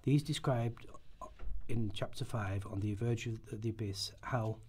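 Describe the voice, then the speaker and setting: A man reading scripted documentary narration for a radio play rehearsal, in a measured way with occasional stumbles. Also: lowish voice, steady tone, darkish tone, husky.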